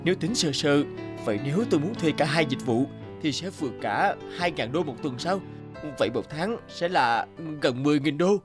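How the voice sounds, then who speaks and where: Actor as a grumbling, dismissive young husband. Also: male voice, fairly high pitch, lively intonation, normal speed, soft background music, lightly acted. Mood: incredulous. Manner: grumbling, condescending. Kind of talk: animated moral-tale voice acting.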